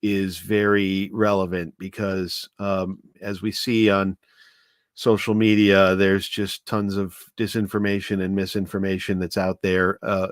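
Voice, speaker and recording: A man in a conversational discussion, explaining his points earnestly and haltingly as a guest commentator. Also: low-pitched, flat delivery, no room sound, studio quality.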